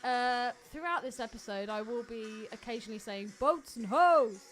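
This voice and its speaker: A woman's voice: on the high side, expressive, moderate pace. A woman co-hosting a podcast in casual, teasing, giggly banter. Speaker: podcast co-host.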